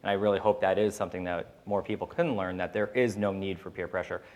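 A man's voice: low voice, fairly even intonation, fast talker, darkish tone, muffled recording. A man speaking as a podcast host on a panel, telling personal stories in a casual, candid, rambling way with some swearing.

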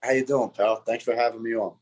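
A man of twenty-four from South Philadelphia, a podcast guest talking in a relaxed, easygoing way, often saying "you know". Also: mid-range voice, fairly quick. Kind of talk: casual interview.

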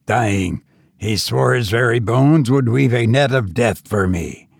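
A man's voice: medium pitch, measured pace, vocal fry, slightly rough, no room sound, studio quality. A man voicing an insane, murderous tavern host who escaped from prison, roaring and laughing madly. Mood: menacing. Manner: roaring, mad mirthless laughter.

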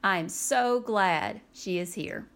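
A woman's voice: medium pitch, takes it slowly, fairly bright tone. A woman in her forties hosting her own podcast, eager in her welcome and chatty as she asks her friend questions. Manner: enthusiastic, scripted-sounding introduction, then chatty. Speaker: podcast host. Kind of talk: podcast interview.

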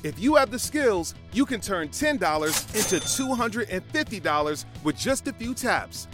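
A man's voice: high-pitched, very animated, moderate pace. A man reading an upbeat promotional advert as a commercial announcer. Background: music.

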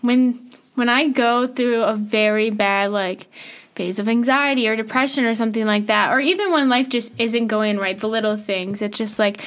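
A woman's voice: a little high, fairly even intonation, moderate pace, fairly bright tone. A young woman co-hosting a casual faith-based podcast, talking earnestly and thinking aloud with small restarts. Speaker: podcast co-host.

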